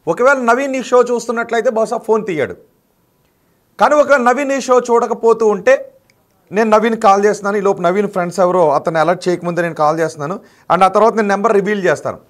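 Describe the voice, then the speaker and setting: Guy anchoring tv news, fairly high voice, fired up and calling someone out. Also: fast talker. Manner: indignant, confrontational address. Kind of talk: news studio commentary.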